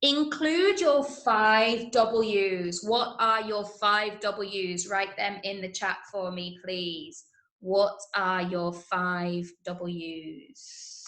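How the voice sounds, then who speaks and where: A woman's voice: mid-range voice, very slow, fairly bright tone. A woman with an English accent teaching an interactive online lesson for children, emphatic and often checking that listeners follow. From Manchester, England. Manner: emphatic, checks understanding with frequent tag questions. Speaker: drama workshop teacher. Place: indoor room, online video call. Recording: echoey room on a video call.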